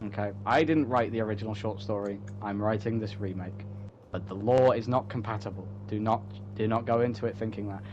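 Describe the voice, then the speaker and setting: A man's voice: fairly low pitch, fairly even intonation, brisk. A man chatting casually and rambling, often repeating and interrupting himself in a joking way. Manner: self-interrupting, repetitive, joking, swearing casually. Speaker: writer promoting own online story. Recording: muffled audio with clear hum.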